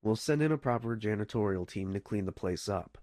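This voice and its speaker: A man's voice: lowish voice, expressive, brisk, darkish tone, natural voice. An actor playing a terse, commanding male mission-control handler in a video game, delivering scripted instructions. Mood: brisk, businesslike. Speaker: voice actor of a game's radio dispatcher. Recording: echoey hall reverb, music behind.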